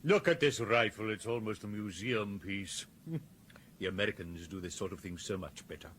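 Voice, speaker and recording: An actor playing an urbane, wry gentleman detective in a radio drama, drily witty and articulate. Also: lowish voice, moderate pace, slight background noise, close to an everyday voice. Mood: drily amused.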